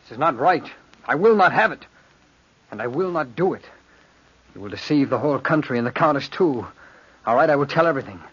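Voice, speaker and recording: Husky, desperate, bitter fugitive. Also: male voice, medium pitch, animated, a little fast, long pauses, a bit bright. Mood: defiant outrage. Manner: grave, theatrical, earnest. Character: desperate fugitive man, bitter, proud, wronged. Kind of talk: old-time radio drama acting. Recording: dull vintage radio recording.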